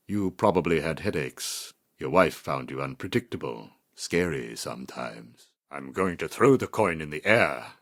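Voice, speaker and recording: A cold, scheming psychiatrist villain from a video game, with an extremely deep voice. He speaks slowly and clinically, with condescending menace, yet his pitch moves around expressively as he toys with whoever he addresses. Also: exaggerated voice. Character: cold, manipulative middle-aged male psychiatrist villain. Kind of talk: scripted video game voice acting.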